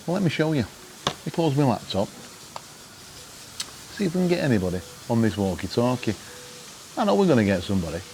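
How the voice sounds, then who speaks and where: A man's voice: medium pitch, sing-song, a little fast, pauses often, a little mellow, slight background noise, poor audio. A British man chatting casually as a vlog host, with a jokey, wry, mock-exasperated way of telling things. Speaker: vlog host giving travel gadget tips. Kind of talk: casual chat to camera.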